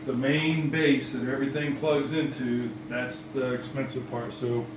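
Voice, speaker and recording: A man giving a casual, halting demonstration of sound gear, often repeating and rephrasing himself. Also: mid-range voice, level tone, recorded from a distance. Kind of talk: casual explanatory demonstration chat. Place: dining room at home, indoors. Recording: roomy, noisy home recording off mic.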